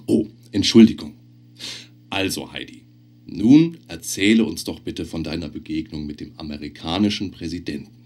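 An actor playing a polite, formal television interviewer of the 1960s in a radio drama, courteous and announcer-like. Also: male voice, low-pitched, expressive, moderate pace, darkish tone.

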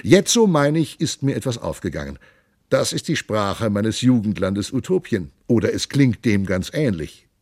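A man's voice: lowish voice, very animated, moderate pace, a little mellow, no echo, clean studio sound. A man voicing a noble, hearty giant prince in an audiobook reading, with stately, emphatic old-fashioned speech. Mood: hearty, commanding.

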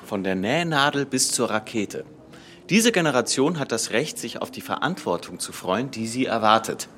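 Radio play: earnest young Egyptian engineer, lively mid-range delivery, proud, faint noise behind. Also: male voice, mid-range voice, moderate pace. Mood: fervent, political. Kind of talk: radio drama acting.

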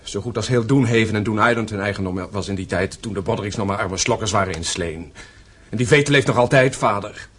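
An actor playing an earnest young gentleman, respectful but dissenting, in a radio play. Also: on the low side, fast, husky. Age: young adult.

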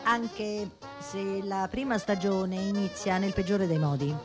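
A woman reading scripted radio theatre narration with theatrical, measured phrasing. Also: mid-range voice, fairly even intonation. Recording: music under the voice. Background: music.

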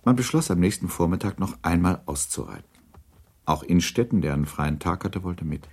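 Deep-voiced male radio-drama narrator. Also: a little fast, a little mellow, slightly rough.

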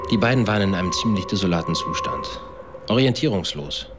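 Sober male witness, low voice, matter-of-fact radio drama report, music behind. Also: lively intonation, fast. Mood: sober, troubled.

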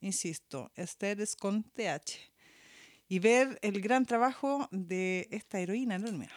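Slow-paced woman, halting delivery. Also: mid-range voice, lively intonation. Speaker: podcast host. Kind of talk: informal spoken announcement.

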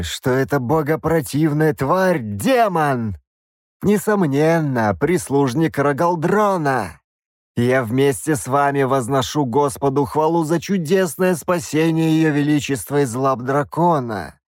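A man voicing a stern, zealous bishop who speaks coldly, with a measured hiss. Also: fairly high pitch, dry recording, studio quality, pitched above the performer's own voice. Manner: cold, hissing, measured.

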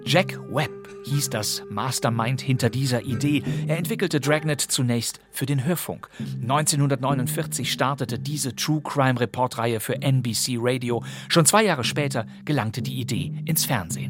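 A man's voice: medium pitch, moderate pace, music in the background. A man with a German accent hosting a podcast, reading scripted narration in an articulate, presenterly way. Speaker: podcast host. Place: dry studio.

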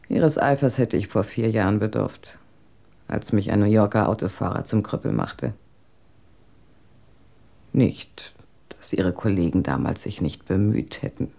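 An actress in a German radio drama playing a proud, cold wife in a wheelchair, with a cutting, sardonic, articulate delivery. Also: female voice, rumbling low, creaky, warm tone, full character voice.

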